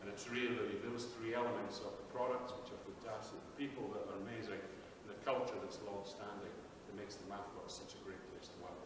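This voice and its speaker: A man's voice: fairly low pitch, steady tone, a little fast, hardly any pauses. A man speaks fluently and promotionally to an audience in a large expo hall before handing over to a colleague. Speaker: company presenter. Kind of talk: presentation handover. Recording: echoey hall, distant mic, loud noise.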